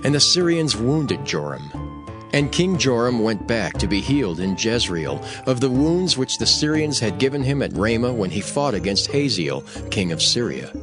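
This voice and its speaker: A man narrating scripture for a dramatized audio production in a measured, declamatory manner. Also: medium pitch, big pitch swings, over music.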